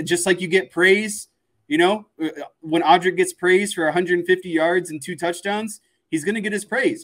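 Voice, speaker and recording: A man's voice: a little high, a bit bright. A man co-hosting a sports show, laying out casual analysis point by point in an explanatory way. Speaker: sports podcast co-host.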